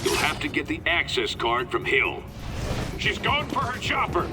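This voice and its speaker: A man's voice: a little high, huge pitch swings, moderate pace. An actor playing an urgent, commanding male action hero in a video game cutscene. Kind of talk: dramatic game cutscene acting. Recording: slightly distant voice under loud music.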